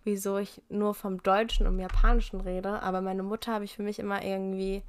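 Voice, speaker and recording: A young woman from Germany, a guest in a casual interview, talking thoughtfully and candidly with soft laughs. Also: mid-range voice, level tone, brisk, clean tone. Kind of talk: casual conversation.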